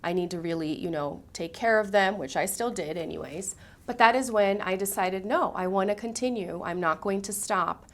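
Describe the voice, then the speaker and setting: A woman from Brazil talks through her story as an interview guest. Her pitch sits in the middle. She speaks earnestly and reflectively, with animated turns. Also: moderate pace. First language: Portuguese. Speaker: guest, singer and speaker coach. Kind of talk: interview conversation.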